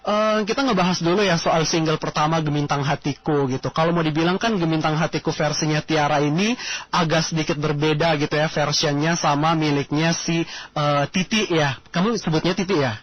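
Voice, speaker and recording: A man interviews a guest for radio in a casual, chatty style. His voice sits fairly high and he speaks colloquially, with gushing interjections like a fan. The audio is dull and lo-fi. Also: steady tone, fairly quick, fairly bright tone.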